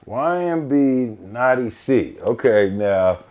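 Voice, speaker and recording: A man's voice: medium pitch, animated, slow pace, darkish tone, dull audio. A man with an American accent hosting a podcast in casual, slangy interview chat. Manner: slangy, informal. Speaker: podcast host.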